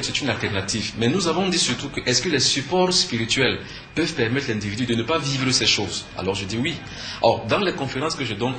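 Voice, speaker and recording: A man's voice: mid-range voice, pushed and bright. A man from Cameroon with a Cameroonian French accent, teaching earnestly as an interview guest, emphatic and fond of answering his own questions. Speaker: spiritual teacher and conference speaker, interview guest. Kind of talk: earnest explanatory talk in a TV interview. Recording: echoey room with faint background noise.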